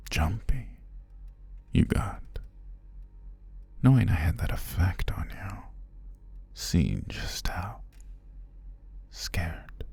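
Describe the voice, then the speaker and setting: A man playing a menacing, obsessive captor in an ASMR roleplay, savoring his phrases in a breathy, husky whisper with a gravelly murmur. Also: deep voice, dark tone, dry recording, heavily acted. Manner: slow, savoring, drawn-out phrases with pauses. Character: menacing obsessive male captor, gloating and possessive. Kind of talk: ASMR roleplay, intimate scripted monologue.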